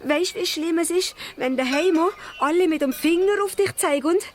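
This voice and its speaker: A woman playing a small, lively male dwarf who muses aloud and asks questions, with a Swiss German accent, in a children's radio play. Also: very high-pitched, moderate pace. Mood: downhearted, anxious. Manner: musing aloud, asking questions.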